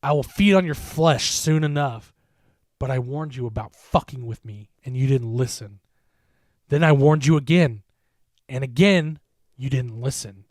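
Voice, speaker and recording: A slow, mocking monster that threatens with relish, as a man reads it. It is loud and deliberate, each word pressed down hard. The sound is dry and close to the mic. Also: mid-range voice, dry recording, close to an everyday voice. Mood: menacing. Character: menacing eternal monster, red-eyed, taunting.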